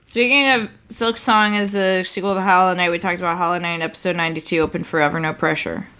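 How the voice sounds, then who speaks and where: A woman co-hosting a relaxed podcast, her voice sitting a little low. She rambles freely through casual chat and laughs often. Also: fast talker, a little hiss or hum. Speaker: podcast co-host.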